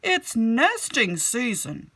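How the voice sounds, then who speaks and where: A friendly, cautioning man from a storybook, acted by a woman. He calls out loudly and emphatically, stretching words, with pitch pushed up high. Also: wildly swooping pitch, takes it slowly, no echo, exaggerated voice. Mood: friendly warning.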